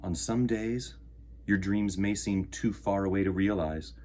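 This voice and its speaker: Male storytime host, lowish voice, measured children's book read-aloud, faint noise behind. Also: a little mellow.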